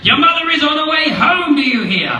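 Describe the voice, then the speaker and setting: Man doing a squealing fretful fish, very high, sharp tone, far-off hall echo. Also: sing-song, moderate pace, slight background noise, heavily acted. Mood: panicked. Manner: shrill, fussy and alarmed. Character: panicky fretful pet fish, male. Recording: echoey theatre heard from far off.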